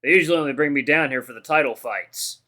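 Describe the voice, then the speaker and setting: A man's voice: on the high side, fast talker, pushed and bright. A man voicing a huge, scarred veteran dinosaur fighter with a mocking, casual, slangy way of talking, for a game story. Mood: amused, condescending.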